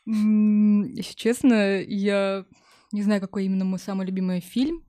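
Woman, medium pitch, podcast guest, informal chat, thinking aloud, dry sound. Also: steady tone, measured pace, dark tone, dry recording. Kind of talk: casual chat.

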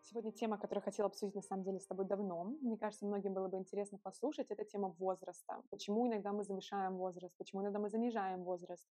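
A woman's voice: mid-range voice, fairly even intonation, racing, few pauses, mellow, soft background music. A woman co-hosting a podcast in casual conversation, inquisitive and steering the discussion. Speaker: podcast co-host.

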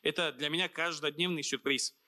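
A man in his thirties from Dagestan, a writer and guest, speaking earnestly and thoughtfully in an interview, pausing with fillers. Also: fairly high pitch, fairly even intonation, bright, forceful tone. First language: Avar. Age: 30s. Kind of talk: reflective interview conversation.

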